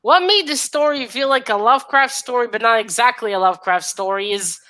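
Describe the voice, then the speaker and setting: A woman's voice: on the high side, brisk, pushed and bright. A woman in a casual book discussion, retelling her reactions as she thinks them through.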